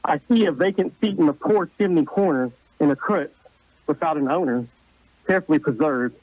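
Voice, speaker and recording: A man's voice: fairly high pitch, brisk, dry recording, dull audio, natural voice. A man playing a jolly giant spirit in a radio play, grand and declamatory, sometimes stern. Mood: grave, rebuking.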